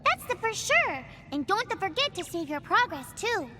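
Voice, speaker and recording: A woman's voice: very high-pitched, very animated, moderate pace, noisy background. A woman voicing a tiny, chirpy female creature guide who garbles her words with stray little extras. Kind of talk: video game voice acting. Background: game music.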